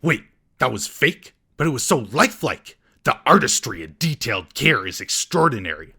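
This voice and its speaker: A man's voice: mid-range voice, sing-song, normal speed, bright, forceful tone, breathy edge. A man hosting a scripted comedic film recap in a sarcastic, mocking manner. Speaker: comedic film reviewer and host.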